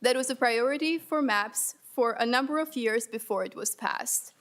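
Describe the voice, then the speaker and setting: Brisk young woman from Albania. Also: a little high, fairly bright tone. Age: young adult. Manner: brisk, formal, reading from notes. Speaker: student leader testifying. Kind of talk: prepared statement at a hearing. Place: legislative hearing room. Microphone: desk microphone.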